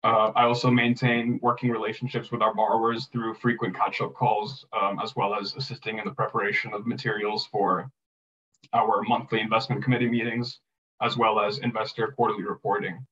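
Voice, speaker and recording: A man's voice: lowish voice, level tone, fairly quick, a bit bright, far from the mic, dull audio. A man on a panel describing his loan management work, speaking hesitantly with many fillers, over a video call. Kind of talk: prepared talk on a panel.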